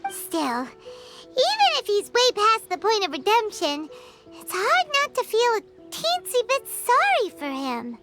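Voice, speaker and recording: Tiny childlike fairy, extremely high, swooping wildly, chirpy, nosy, video game, music behind. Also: female voice, wildly swooping pitch, measured pace, full character voice. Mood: wary, musing. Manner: speaks of self in third person.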